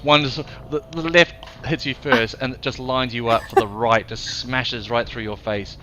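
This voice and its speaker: A man's voice: medium pitch, steady tone, normal speed, sharp tone, a little hiss or hum. A man with a New Zealand accent running a live tabletop game as game master, loose and playful, punchy when he narrates big hits.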